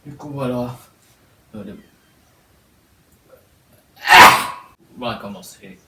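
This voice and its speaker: A man with a cold vlogging about his home setup, talking haltingly with many fillers and restarts. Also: mid-range voice. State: congested with a cold. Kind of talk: casual home video tour. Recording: echoey room, far from mic, steady noise.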